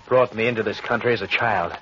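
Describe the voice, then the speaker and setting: A man's voice: lowish voice, fast, sharp tone. An actor playing a ruthless young male escaped officer who talks in a cold, commanding, threatening way, in 1940s radio acting style. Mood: menacing. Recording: dull, faintly hissy 1940s radio.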